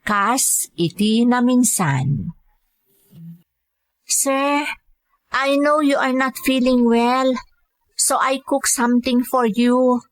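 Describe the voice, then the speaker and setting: A woman's voice: fairly high pitch, extremely slow, long pauses, no echo, poor audio. A woman with a Filipino accent playing a patient, dutiful young caregiver working abroad, in earnest, polite scripted drama. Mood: polite, caring. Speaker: voice actor in an audio drama. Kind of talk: scripted drama dialogue and narration.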